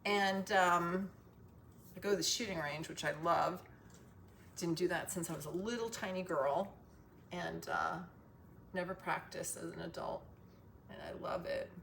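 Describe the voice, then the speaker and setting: Casting: female wellness vlogger, lowish gravelly strained voice, sweary and digressive, noisy ambient recording. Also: a little slow, long pauses, a bit bright. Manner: profane, emphatic, goes off on tangents. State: voice strained from loud singing. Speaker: wellness vlogger sharing a self-cure. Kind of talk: casual, rambling advice vlog.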